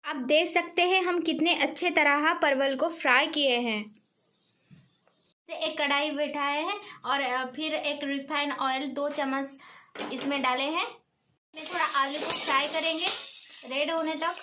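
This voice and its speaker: A woman walks through a recipe in her home kitchen, her voice sitting high. She explains each step plainly, pausing now and then to find a word. Frying and sizzling sound clearly behind her. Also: fairly even intonation, sharp tone, slight background noise. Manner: plain step-by-step instruction with hesitations. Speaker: cooking channel host. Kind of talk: home cooking video narration.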